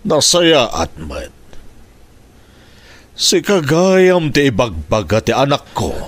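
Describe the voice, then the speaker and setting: A man playing a stern older ex-military father in a radio drama, questioning in a deliberate, probing way. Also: medium pitch, big pitch swings. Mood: stern, suspicious.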